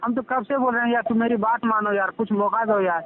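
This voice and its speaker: A man's voice: high voice, steady tone, fairly quick, slight background noise. A man arguing casually in a private phone call, coaxing insistently and repeating his phrases. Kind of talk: private phone argument, colloquial. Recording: narrow tinny phone line.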